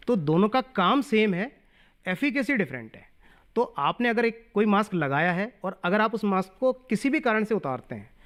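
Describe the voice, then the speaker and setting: A doctor explaining symptoms and testing to a caller, mixing English medical terms into his talk. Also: sits high. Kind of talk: medical advice on a call-in show.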